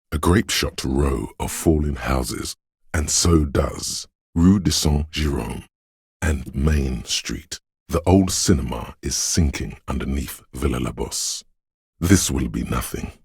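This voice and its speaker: An extremely deep, raspy voice of an omniscient city spirit in a video game. It narrates with solemn, ominous poetry, measured and brooding. The recording is close and dry. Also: male voice, no echo, full character voice. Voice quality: very deep, gravelly, husky. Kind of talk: slow, poetic game narration in the second person.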